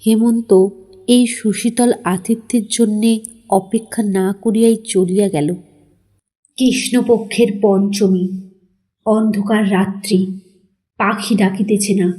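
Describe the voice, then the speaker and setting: A woman narrating a literary story in a formal, measured storytelling manner. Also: medium pitch, steady tone, dark tone.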